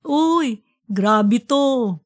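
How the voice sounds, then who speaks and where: A woman's voice: fairly high pitch, expressive, drawn-out words. A woman voicing a polite, earnest young child in an audiobook story. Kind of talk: audiobook character dialogue.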